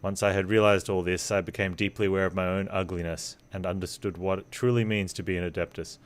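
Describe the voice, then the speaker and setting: Low-voiced male game streamer. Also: steady tone, fast. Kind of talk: casual gameplay commentary, reading game text aloud.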